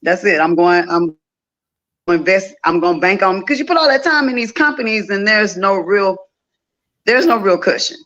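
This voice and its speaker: A woman's voice: mid-range voice, lively intonation, moderate pace, a bit bright, lo-fi sound. A woman, telling stories casually and repeating phrases for emphasis, as a guest in an interview. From Louisiana, United States. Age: 50s. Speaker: interview guest, festival organizer. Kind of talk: casual conversational storytelling.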